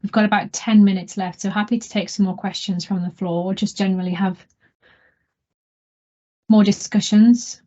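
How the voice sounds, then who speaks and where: A woman's voice: mid-range voice, fairly even intonation, moderate pace, long pauses, warm tone. A woman chairing an online seminar who talks in a chatty, rambling way and makes light of herself. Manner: chatty, rambling, self-deprecating. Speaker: webinar chair. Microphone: video call. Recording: muffled video-call audio.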